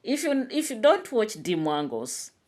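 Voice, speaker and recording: A woman's voice: a little high, big pitch swings, a little slow, darkish tone. A woman, a YouTube vlogger giving chatty commentary to her viewers, full of "you know" fillers. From Kenya.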